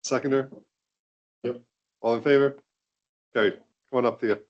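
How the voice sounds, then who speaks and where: A man, the mayor, chairing a council meeting in a brisk, procedural way. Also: medium pitch, fairly even intonation, lo-fi sound.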